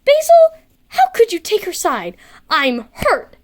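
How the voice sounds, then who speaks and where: Woman voicing a whiny, high-pitched theatrical boy. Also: wildly swooping pitch, slow pace, heavily acted. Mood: mock hurt. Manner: dramatic, whiny, bickering. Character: boisterous, theatrical, easily offended boy.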